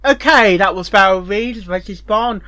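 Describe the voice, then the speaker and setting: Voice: medium pitch, normal speed, sharp tone, no room sound. A host giving casual reaction commentary, rambling and often repeating words and phrases. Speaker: reviewer and host of a fanfiction reaction show.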